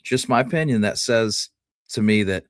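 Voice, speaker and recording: A man with a fairly low voice co-hosts a history conversation in a dry, close recording. He thinks aloud, laying out his theory piece by piece. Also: lively intonation, moderate pace, no echo. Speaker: podcast co-host. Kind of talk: conversational historical discussion, speculating.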